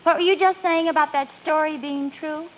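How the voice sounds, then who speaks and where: A woman's voice: high-pitched, steady tone, fairly quick, slight background noise, lightly acted. A woman acting a wry, affectionate, teasing wife in a 1940s radio comedy. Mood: dry. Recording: dull old radio recording.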